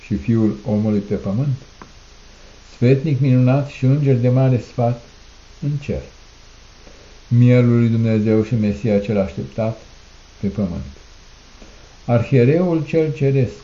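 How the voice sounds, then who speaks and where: A man reciting a solemn liturgical prayer in a declamatory manner, in measured phrases with repeated refrains. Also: mid-range voice, warm tone. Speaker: prayer reader. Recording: muffled, echoey room recording.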